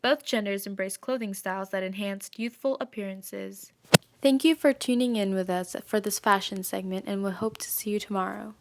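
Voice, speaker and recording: Female fashion presenter, medium pitch, formal scripted delivery for a 1920s-style news report. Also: normal speed, darkish tone, a little hiss or hum.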